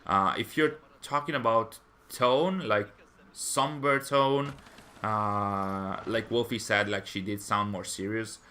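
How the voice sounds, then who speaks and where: Stream host, male, lowish voice with a bright, forceful edge, rambling and hesitant. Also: steady tone, slow pace, a little hiss or hum. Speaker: fan channel stream host. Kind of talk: live stream chat, reading viewer comments.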